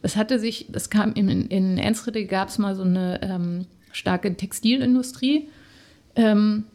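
A woman's voice: medium pitch, takes it slowly, warm tone. A middle-aged woman from Germany, a guest telling her story in casual conversation, hesitant with many fillers.

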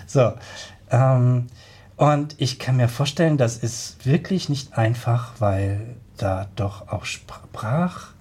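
Mellow-voiced male radio host. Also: medium pitch, takes it slowly. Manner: hesitant, many fillers. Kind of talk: radio studio interview. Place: radio studio.

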